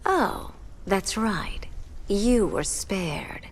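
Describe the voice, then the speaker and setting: Slow, seductive villainess. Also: female voice, mid-range voice, lively intonation, full character voice. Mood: taunting. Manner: slow, taunting, purring. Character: sinister, seductive female villain, mockingly sweet. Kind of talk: video game villain acting. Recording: noisy ambience behind the voice.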